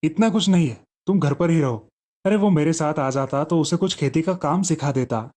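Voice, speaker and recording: A man's voice: a little high, moderate pace, darkish tone, natural voice. A man voicing a protective village farmer father in a children's story. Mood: nagging. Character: protective village farmer father, fretting over his son.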